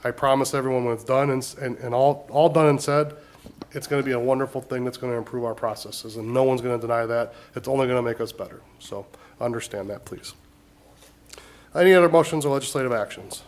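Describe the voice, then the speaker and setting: A slightly hoarse man speaks as mayor at a council meeting, his voice pitched in the middle range. He talks earnestly and repeats points for emphasis. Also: quick pace. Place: council chambers.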